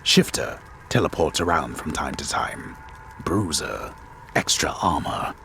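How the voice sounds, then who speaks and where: A man playing a solemn, disembodied storyteller of myth in a video game, reading scripted notes in a grave, measured, theatrical manner. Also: very deep voice, expressive, sharp tone, a little hiss or hum, full character voice. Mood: solemn, deliberate. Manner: grave, measured, theatrical delivery of dry text. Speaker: game narrator.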